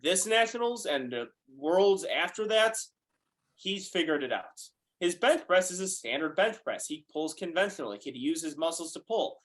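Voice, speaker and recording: Young man in his twenties, fast, animated podcast guest with a somewhat high voice. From United States. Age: 20s. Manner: fast, animated, swears freely. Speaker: guest powerlifter. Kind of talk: casual podcast chat.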